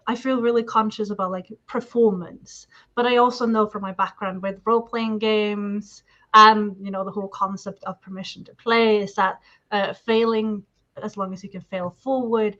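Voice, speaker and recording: A woman speaking English as her second language, at a middling pitch, thinking aloud in long, meandering sentences padded with fillers. Her voice comes through a dull, slightly muffled lo-fi recording that takes the edge off her words. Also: a bit bright. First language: not English. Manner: thoughtful, talks in long hedged sentences with fillers. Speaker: guest discussing marketing and projects. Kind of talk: casual reflective chat.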